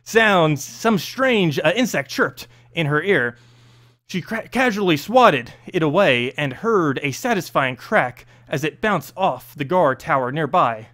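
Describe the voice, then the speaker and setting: A man reading a fantasy story aloud as narrator, expressive, now and then stumbling over a word. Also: on the high side, a little slow. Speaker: host reading game lore story aloud.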